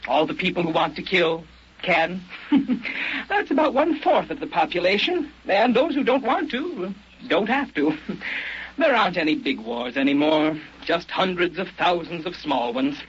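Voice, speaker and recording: An actor playing an effusive, approving bureau official in an old-time radio drama, officious and given to chuckling. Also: male voice, sits high, very animated, normal speed, a bit bright, slightly rough, exaggerated voice. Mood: gleeful. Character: jovial, approving bureau official briefing killers, man. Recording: muffled, noisy old radio recording.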